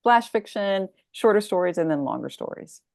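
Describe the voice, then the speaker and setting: A woman author answering interview questions conversationally, thoughtful and expressive, leaning on key words. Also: medium pitch, moderate pace, vocal fry, dry recording. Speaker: author, podcast guest.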